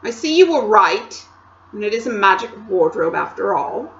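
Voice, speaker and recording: A woman's voice: medium pitch, sing-song, moderate pace, a bit bright, echoey room, muffled recording. A woman reading aloud, voicing a sulky young boy who answers snappishly.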